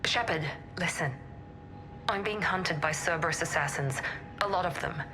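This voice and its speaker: A woman's voice: on the low side, normal speed, over music, close to an everyday voice. A woman playing a guarded, determined operative on the run in a video game, controlled and intense. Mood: tense, urgent.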